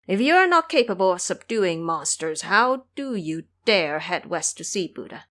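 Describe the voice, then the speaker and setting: A woman's voice: on the low side, sing-song, moderate pace, fairly bright tone. A woman playing an imperious, emotional king with a grand, formal manner in an audio drama. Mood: chiding. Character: distraught, pleading, imperious king. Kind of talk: audio drama character acting.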